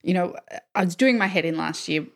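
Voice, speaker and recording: A woman's voice: fairly low pitch, fairly quick, vocal fry. A woman hosting an informal interview, chatting with teasing banter. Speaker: interview host. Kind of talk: casual chat.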